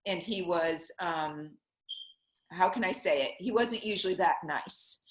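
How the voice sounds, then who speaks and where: A middle-aged American woman talks about money and her past in an earnest, personal way, her voice sitting a little low. She speaks in quick bursts, and the room around her rings with echo. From Connecticut, USA. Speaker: guest, money coach. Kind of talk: conversational interview.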